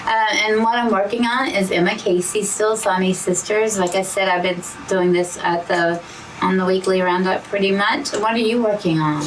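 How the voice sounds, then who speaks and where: Hoarse woman chatting a bit off-mic. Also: medium pitch, steady tone, normal speed, recorded from a distance, a little hiss or hum, poor audio. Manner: rambling, with frequent restarts and "you know". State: hoarse, losing her voice from talking too much. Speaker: co-host of a diamond painting channel. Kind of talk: casual chat.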